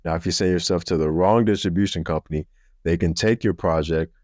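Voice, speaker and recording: A man with a deep voice giving a practical, matter-of-fact how-to overview, speaking deliberately slowly and clearly. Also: a little mellow, dry recording, clean studio sound. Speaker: video creator giving a how-to. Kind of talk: instructional talk to camera.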